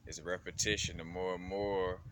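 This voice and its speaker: A man's voice: sits low, little pitch movement, normal speed. A man from Louisiana in a casual, rambling vlog monologue, full of fillers and laid-back slang. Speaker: spiritual vlogger. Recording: loud background noise.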